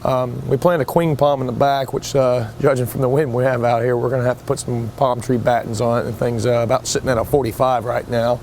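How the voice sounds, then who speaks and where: A foreman gives a quick, matter-of-fact progress update outdoors at a windy beach-side job site. He talks fast in a flat, level tone, with faint outdoor noise behind him. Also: medium pitch. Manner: brisk, matter-of-fact, working-crew talk.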